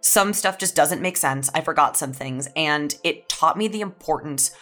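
A woman's voice: sits low, normal speed, a bit bright. A woman speaking as a writer guest in a casual panel discussion, emphatically repeating words for stress. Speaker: podcast guest, audio fiction writer.

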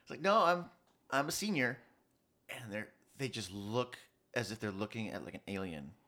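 A man's voice: lowish voice, moderate pace. A man of thirty-five chatting casually and telling stories in slangy, sweary speech, acting out bits of dialogue as he goes. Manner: animated storytelling with acted-out quotes, slangy with swearing. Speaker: college student in a business fraternity. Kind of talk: casual chat, storytelling.

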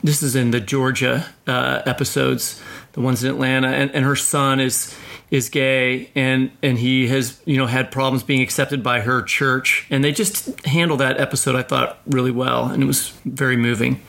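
A man's voice: medium pitch, little pitch movement, normal speed, vocal fry, fairly bright tone. A man hosting a podcast, talking casually and emphatically, often checking his own points. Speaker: podcast host.

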